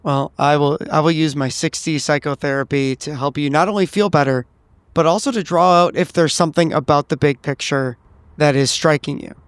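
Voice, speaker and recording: A man with a fairly high, clear voice takes his turn in a tabletop game. He explains his character's actions earnestly and deliberately, in a dry recording. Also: no room sound.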